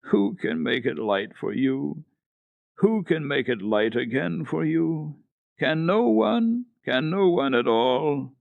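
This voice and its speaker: A man's voice: a little high, expressive, slow pace, gravelly, no room sound, phone-quality sound, pitched above the performer's own voice, heavily acted. A man voicing an earnest, eager and kind little girl in a dramatic storybook reading. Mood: sobbing, distressed.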